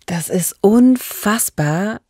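A woman's voice: medium pitch, expressive, drawn-out words, a little mellow, no room sound. A woman in her thirties co-hosting a true-crime podcast conversationally, close on a studio microphone. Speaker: podcast co-host. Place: small radio studio. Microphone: close studio microphone with pop filter.

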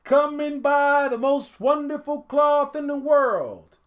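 A man voicing a proud young cloth seller in a storybook, hawking loudly with a drawn-out call. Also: very high-pitched, animated, pitched above the performer's own voice, exaggerated voice. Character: proud young market brothers calling out their wares.

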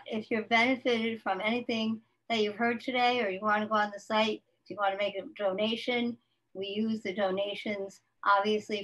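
A woman, hoarse from laryngitis, closing a webinar presentation over a video call. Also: medium pitch, fairly bright tone, rough recording. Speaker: guest speaker.

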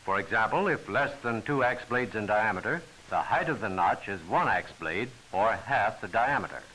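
A man's voice: on the low side, lively intonation, moderate pace, bright, forceful tone, slight background noise, low-quality recording. A man giving formal, instructional scripted narration for a training film, on an old film soundtrack.

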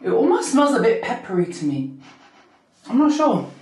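A young woman with a deep voice, chatting to camera at home in a gushing, giggly way. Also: sing-song, moderate pace, mellow. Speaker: vlogger. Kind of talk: casual chat to camera. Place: home bathroom at night, then a bright kitchen. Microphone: camera on a low stand. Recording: echoey room, camera a bit far.

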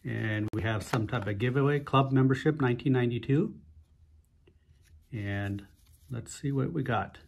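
A man's voice: medium pitch, normal speed, creaky, long pauses, darkish tone, a little hiss or hum. A man hosting his card-opening channel, narrating casually and unhurriedly with frequent fillers as he opens a pack at a table. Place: indoors at a table, hands only on camera.

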